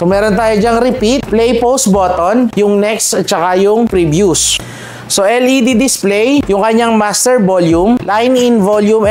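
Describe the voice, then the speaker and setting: A man from the Philippines hosting a product demonstration, upbeat and often addressing viewers directly. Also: high voice. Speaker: product demo host.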